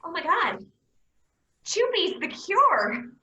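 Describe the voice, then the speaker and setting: Plucky lady cop, actress: emphatic comic stage reading, sharp high voice with room echo. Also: very animated, takes it slowly, lo-fi sound, heavily acted. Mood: excited. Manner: emphatic, comedic. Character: feisty defiant female police officer, plucky.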